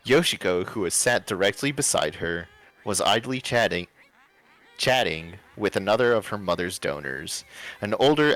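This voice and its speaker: Male livestream storyteller, lowish register, lively delivery, informal read-aloud over music. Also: low-quality recording. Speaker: story narrator and livestream host. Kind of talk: expressive fan fiction read-aloud with casual banter.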